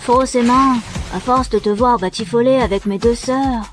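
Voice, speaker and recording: A woman voices a high-pitched ghost girl from an animation. She is a sharp-tongued, jealous little sister, heard over light music. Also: steady tone, normal speed, close to an everyday voice. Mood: bossy concern. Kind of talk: French-language animated series acting.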